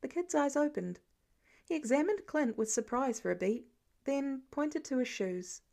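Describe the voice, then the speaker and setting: A woman reading fan fiction aloud as an expressive scripted narration. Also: high voice, normal speed, creaky.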